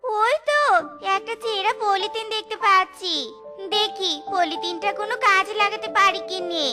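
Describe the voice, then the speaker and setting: Woman voicing a very high-pitched motherly cartoon vixen. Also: steady tone, moderate pace, over loud music. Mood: worried, plaintive. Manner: pleading, motherly, folksy speech. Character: poor, tender, resolute mother vixen in a children's cartoon. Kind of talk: children's cartoon voice acting.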